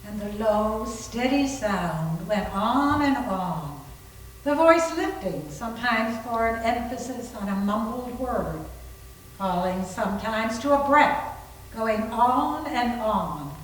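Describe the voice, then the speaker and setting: A woman narrating a horror story in a deliberate, suspenseful dramatic reading. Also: on the high side, expressive. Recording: very echoey room, distant, faint noise.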